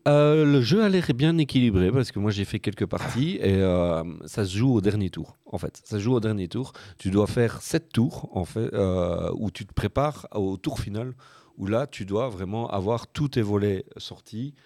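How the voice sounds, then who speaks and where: A man in his forties explaining board games on a casual podcast, in a hesitant way with many filled pauses. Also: fairly low pitch, lively intonation, warm tone, dry recording, clean studio sound. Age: 40s. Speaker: board game podcast panelist.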